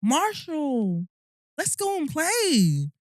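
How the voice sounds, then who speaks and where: A woman's voice: sits high, wildly swooping pitch, extremely slow, no room sound, clean studio sound. A young woman from the UK, co-hosting a podcast in teasing, slangy casual chat. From United Kingdom. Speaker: podcast co-host.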